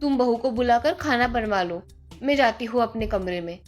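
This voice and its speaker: A woman playing a middle-aged Indian mother and mother-in-law, nagging but caring, in an animated moral story. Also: a little high, moderate pace, faint music behind, lightly acted. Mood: complaining.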